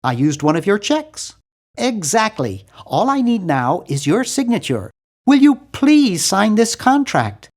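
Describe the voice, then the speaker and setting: A man voicing a nerdy, earnest and anxious young hero in a video game, with a fussy, animated delivery. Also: high voice, unhurried, full character voice. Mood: insistent. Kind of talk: video game character voice lines.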